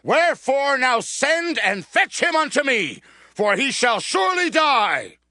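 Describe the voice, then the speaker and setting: A wrathful, domineering king in an audio scripture drama. The voice is very high and sharp, swinging widely as he declaims. It is recorded close and dry. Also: big pitch swings, measured pace, no room sound, full character voice. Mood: enraged. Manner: shouting, declamatory. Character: wrathful, domineering king raging at his son. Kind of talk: dramatized scripture reading, archaic English.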